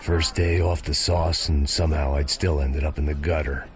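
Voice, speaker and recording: An actor playing a middle-aged American ex-cop, bitter and deadpan, in hard-boiled noir game narration. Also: male voice, very deep voice, normal speed, a crowd in the background. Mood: bitter, resigned. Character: world-weary, self-loathing American ex-cop turned bodyguard. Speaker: narrator and protagonist. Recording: loud music under the voice.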